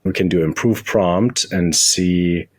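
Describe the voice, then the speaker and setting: Deep, husky man in a tech interview. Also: takes it slowly, darkish tone, no echo. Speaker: guest, tech company founder and CEO. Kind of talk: interview conversation with software demo.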